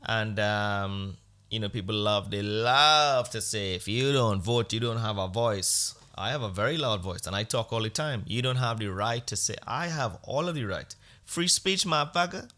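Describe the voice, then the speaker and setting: A man's voice: on the low side, normal speed, pushed and bright. A man hosting a podcast, talking in a blunt, sarcastic rant. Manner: blunt, ranting, sarcastic, profane. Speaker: podcast host. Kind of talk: casual opinionated podcast monologue.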